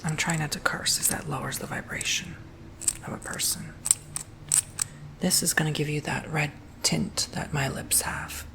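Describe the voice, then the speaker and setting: A man whispering softly and gently through an ASMR roleplay, as if doing someone's makeup. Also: a little high, fairly even intonation, creaky. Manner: soft whispering, slow and gentle.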